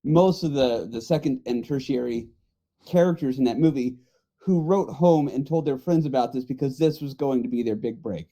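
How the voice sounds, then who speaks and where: Casual man with a very mellow voice. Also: mid-range voice, normal speed. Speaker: podcast panelist. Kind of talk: casual panel chat. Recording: dull, muffled audio.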